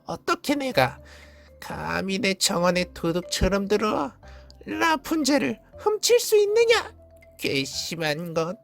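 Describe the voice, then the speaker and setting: A man's voice: high-pitched, very animated, creaky, soft background music, voice pushed up, exaggerated voice. Man putting on a shrieking, scolding fairy-tale witch. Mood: furious. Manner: scolding, drawn-out, theatrical delivery. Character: angry, cunning old witch, female, threatening and bargaining. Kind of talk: fairy-tale storybook reading.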